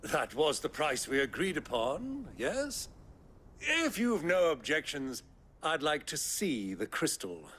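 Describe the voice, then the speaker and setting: A man's voice: on the high side, big pitch swings, slow, a bit bright, breathy edge, exaggerated voice. An actor voicing a crafty older British nobleman in a video game, with pompous, florid, sly diction. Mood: smooth bargaining.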